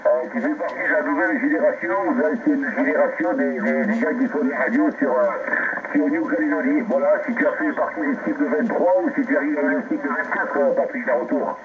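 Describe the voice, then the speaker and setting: A radio operator from southwest France chatting over a radio link, animated and talkative, spelling words in the phonetic alphabet. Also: male voice, extremely high pitch, quick pace, gravelly. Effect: radio transmission. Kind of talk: CB radio contact. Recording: dull lo-fi radio with loud noise.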